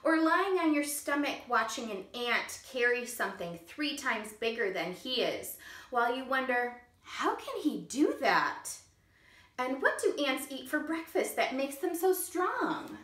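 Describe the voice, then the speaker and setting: Slow, bright-voiced woman reading a storybook. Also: high voice, sing-song. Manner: gentle storytime reading, pausing to ask children questions. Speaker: kindergarten teacher. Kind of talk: reading a picture book aloud to children. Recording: echoey room.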